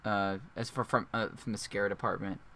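A man hosting a casual movie podcast, loud and jokey, laughing often. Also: fairly low pitch, fairly even intonation, moderate pace. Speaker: podcast host.

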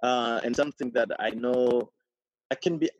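A man in his thirties, born to Burundian parents, speaking in a relaxed interview and telling his life story with many pauses and restarts. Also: medium pitch, no echo. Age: 30s. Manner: reflective, many ums and restarts, laughs often. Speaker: podcast guest. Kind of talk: casual interview conversation. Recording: dry, dull lo-fi sound.

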